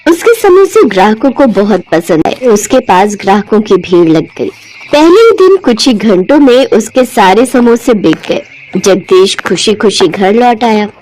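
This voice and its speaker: A woman narrating a moral cartoon story in a clear, steady storytelling voice. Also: medium pitch, measured pace, poor audio. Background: soft background music.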